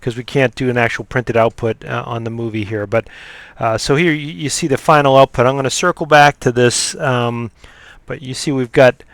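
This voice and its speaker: A man giving an unscripted software demo in a halting, matter-of-fact way as he explains each step. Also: mid-range voice, dry recording. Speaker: software demonstrator. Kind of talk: unscripted screen-recorded software tutorial.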